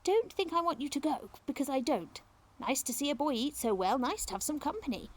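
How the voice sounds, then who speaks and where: A woman's voice: sits high, very animated, fairly quick, voice pushed up. A woman voicing a chatty, kindly and wistful old English lady in clipped, homely phrases for a children's storybook. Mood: kindly, firm.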